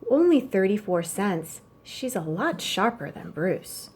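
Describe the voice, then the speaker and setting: A woman's voice: mid-range voice, very animated, measured pace, a little mellow, husky. A woman voicing a young boy in a children's storybook, a blunt, money-minded and eager little brother. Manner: blunt, matter-of-fact.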